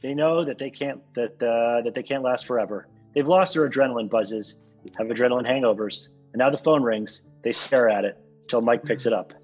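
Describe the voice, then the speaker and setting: Male narrator, husky, medium pitch, dull recording, faint music, scripted reading. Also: brisk. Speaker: stage-direction reader. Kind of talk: scripted narration at a table read.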